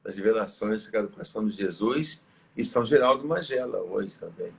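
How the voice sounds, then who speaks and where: A tired, slightly hoarse male priest, leading prayers and preaching in a reverent, measured way. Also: lowish voice, expressive, slight background noise. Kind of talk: live religious talk and prayer.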